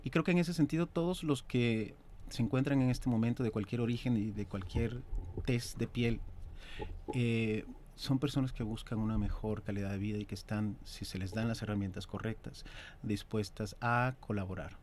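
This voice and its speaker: A man in his thirties with a Mexican accent, an actor talking as a guest in a radio studio, measured and reflective. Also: on the low side, a little mellow, slight background noise. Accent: Mexican Spanish. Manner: measured, reflective, speaks in long considered phrases. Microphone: desk mic on stand.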